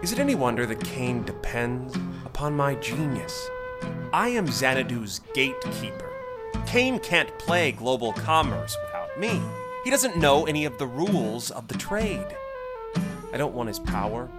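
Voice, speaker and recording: A haughty, scheming court official in an audio drama. His theatrical delivery swings widely in pitch, full of wounded vanity and vengeful intent, over prominent music. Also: huge pitch swings, a little slow. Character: scheming, vain, insulted male court official plotting revenge.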